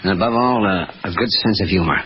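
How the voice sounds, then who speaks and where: Hesitant low-voiced man on old radio. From Pennsylvania, United States. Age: late 40s. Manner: hesitant, mild, unhurried. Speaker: quiz show contestant. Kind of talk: 1940s radio interview. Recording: muffled 1940s radio recording, faint noise.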